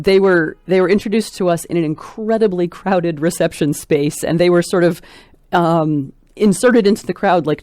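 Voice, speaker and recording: A woman speaking as a scholarly interview guest, articulate and precise, phrasing her thoughts in a measured, thoughtful way. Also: lowish voice, a little mellow, no room sound.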